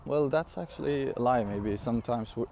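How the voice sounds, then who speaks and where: A man in his twenties from Syria, for whom English is not his first language, giving an energetic, rambling business pitch straight to camera with frequent fillers and laughs. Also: normal speed, gravelly, slight background noise. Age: 20s. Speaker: online business coach. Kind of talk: casual direct-to-camera talk.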